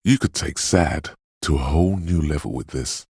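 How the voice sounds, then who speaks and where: An extremely deep, ominous inner voice. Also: male voice, no echo, studio quality, full character voice. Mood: urging, intense. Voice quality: gravelly, husky. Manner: hushed, portentous, theatrical, dramatic pauses. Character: ominous, dreamy inner voice of a detective's intuition. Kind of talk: video game voice acting, second-person inner monologue.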